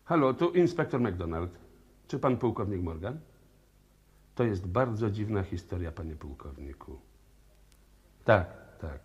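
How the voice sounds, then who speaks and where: An actor playing a brisk, persistent police inspector who questions insistently, in 1960s radio play style. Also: male voice, on the low side, sing-song, husky, lo-fi sound, exaggerated voice. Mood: urgent.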